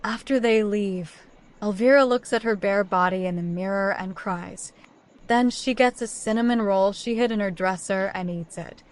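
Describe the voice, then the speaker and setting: A woman's voice: mid-range voice, normal speed, clean tone. A woman narrating a scripted story recap, telling events in order as a storyteller.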